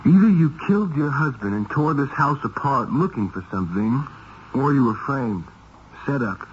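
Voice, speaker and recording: A man's voice: mid-range voice, expressive, normal speed, muffled recording, close to an everyday voice. Actor for male private detective, radio mystery: blunt, in command, mid-range voice, direct phrasing, hissy 1970s broadcast. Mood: urgent, commanding. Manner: clipped, direct questioning. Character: blunt, quick-thinking male detective, hard-boiled and in command. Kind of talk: 1970s radio mystery acting with first-person narration.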